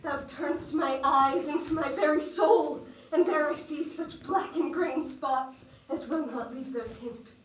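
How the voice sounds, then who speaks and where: A woman's voice: sits high, measured pace, few pauses. A young woman playing an overwrought, pleading tragic queen in grand, melodramatic Shakespearean stage style. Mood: anguished pleading. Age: young adult. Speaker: student actor. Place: school theatre stage. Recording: echoey stage, distant mic, faint noise.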